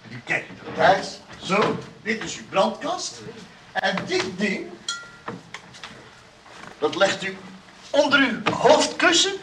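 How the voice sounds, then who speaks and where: An actor playing a mild, eager engineer-inventor, hesitant and obliging, in a Dutch radio play. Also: male voice, high voice, big pitch swings, slow, long pauses, exaggerated voice. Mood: eager, explaining. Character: mild, eager male engineer-inventor, tactless and easily swayed. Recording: noisy old radio recording.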